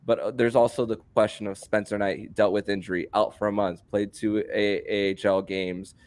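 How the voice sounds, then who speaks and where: A man hosting a sports podcast in a conversational style, halting often and repeating words as he goes. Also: on the low side, level tone, darkish tone.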